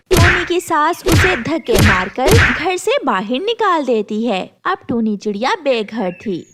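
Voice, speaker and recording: A woman's voice: fairly high pitch, heavy background noise. A woman narrating a scripted children's story as the storyteller.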